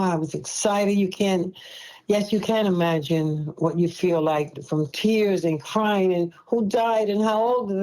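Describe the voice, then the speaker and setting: A woman's voice: medium pitch, mellow, low-quality recording. A woman in her seventies with a Brooklyn accent, telling her own story as a podcast guest in a heartfelt, rambling way. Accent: Brooklyn New York. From Brooklyn, New York. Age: 70s. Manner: emotional, heartfelt, rambling with restarts. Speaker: guest, liver transplant recipient. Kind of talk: casual personal storytelling.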